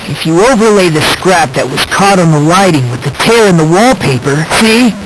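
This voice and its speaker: A young man playing a polite, eerily calm teenage boy in a video game, self-deprecating yet needling, with an airy, teasing, probing delivery. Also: sits high, normal speed. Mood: smug, explaining. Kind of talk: video game voice acting, courtroom mystery dialogue. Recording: noisy compressed handheld game audio.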